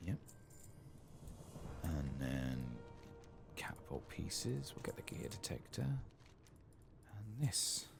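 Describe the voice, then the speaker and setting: A man hosting a soft-spoken, whispered ASMR game playthrough, murmuring his thoughts aloud in a relaxed, unscripted way. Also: low voice, very slow, vocal fry, long pauses, music in the background. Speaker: gaming video host.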